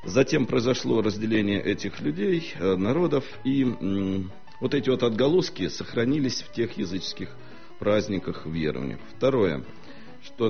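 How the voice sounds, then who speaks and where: A man speaking as a historian guest on a studio talk show, lecturing in a measured way with frequent hesitations. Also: fairly low pitch, vocal fry, lo-fi sound.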